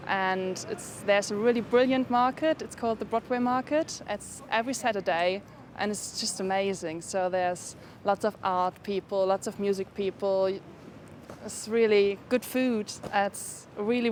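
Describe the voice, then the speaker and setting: A woman's voice: medium pitch, moderate pace. A woman answers a street interviewer with real enthusiasm, calling things amazing. She is recorded outdoors in the city with faint traffic noise behind her. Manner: enthusiastic, talks of places as amazing. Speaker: passer-by interviewee. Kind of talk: casual chat.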